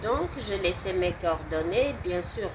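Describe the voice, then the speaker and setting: Slow, halting woman with a Polish accent. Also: fairly low pitch. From Poland. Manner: halting and emphatic, restarts and repeats words. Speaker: satisfied client giving a testimonial. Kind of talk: unscripted testimonial. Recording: steady background noise.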